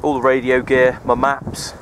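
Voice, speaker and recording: A man, rambling casually in a low-key, resigned way as he narrates a vlog on a handheld camera. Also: completely flat delivery, moderate pace. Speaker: outdoor radio hobbyist vlogger. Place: outdoors on an open wooded hilltop. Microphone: handheld camera's built-in mic, held by speaker. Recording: outdoor handheld camera, wind and ambient noise.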